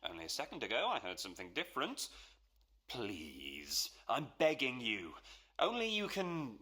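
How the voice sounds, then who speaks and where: An actor in a radio play voicing a posh, eager young suitor, effusive, flustered and deferential. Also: male voice, mid-range voice, wildly swooping pitch, moderate pace, pushed and bright, slightly rough, exaggerated voice. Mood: insistent pleading. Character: eager, gushing, posh young fiancé wooing his father-in-law.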